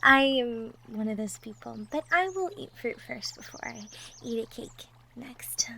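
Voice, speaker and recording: A woman playing a gentle, cheerful young cottagecore woman from the woods in an intimate roleplay audio, soft-spoken, giggly and sweet. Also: on the high side, animated, measured pace, vocal fry, exaggerated voice. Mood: gentle, welcoming. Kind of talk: roleplay audio drama, intimate one-sided conversation.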